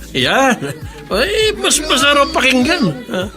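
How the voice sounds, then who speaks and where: A man playing a flirtatious, pushy restaurant guest in a radio drama, teasing, insistent and quick to get irritated. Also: very high-pitched, sing-song, heavily acted. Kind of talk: Filipino radio drama acting. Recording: loud music behind the voice.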